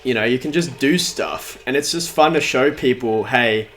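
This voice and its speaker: A man's voice: mid-range voice, moderate pace, fairly bright tone, soft background music. A nineteen-year-old man streaming a game, chatting casually in a rambling, talkative way while answering chat, with a voice that sounds younger than his age. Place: at home in front of a big TV.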